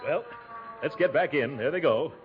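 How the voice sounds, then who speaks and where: An actor playing a flustered, genial middle-aged American uncle in a 1940s radio comedy, sputtering and exclaiming theatrically. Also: male voice, fairly high pitch, moderate pace, natural voice. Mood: jovial, ingratiating. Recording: dull 1940s radio recording, audience noise.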